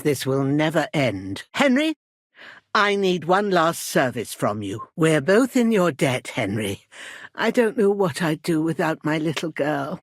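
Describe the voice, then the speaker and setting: A middle-aged village midwife in a medieval video game, weary and embittered yet fiercely protective of her own. Her delivery is earnest and emphatic, with a very lively melody. The recording is dry, with no room around the voice. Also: fairly low pitch, no room sound. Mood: defiant, weary, then grateful, earnest. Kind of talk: dramatic game dialogue acting.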